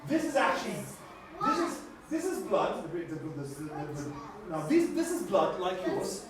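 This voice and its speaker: Excitable, kindly scientist, acted by a man: high, flustered, stammering explainer for a children's puppet show, very echoey. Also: moderate pace, a crowd in the background. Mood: earnest, flustered. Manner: stammering, repetitive, emphatic, checking with "okay?". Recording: echoey room, distant mic, faint noise.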